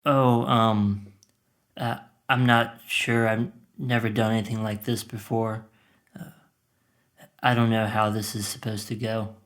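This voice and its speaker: A man's voice: lowish voice, little pitch movement, measured pace, a bit bright. A man playing a withdrawn, depressed teenage son in an audio drama, speaking tersely and hesitantly. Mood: subdued, unsure. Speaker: actor in a scripted podcast drama. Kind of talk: naturalistic audio drama acting.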